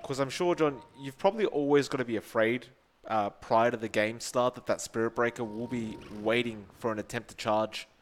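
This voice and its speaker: A man's voice: medium pitch, moderate pace, a little hiss or hum. Australian man casting esports. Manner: chatty, joking banter. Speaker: esports caster. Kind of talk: play-by-play commentary.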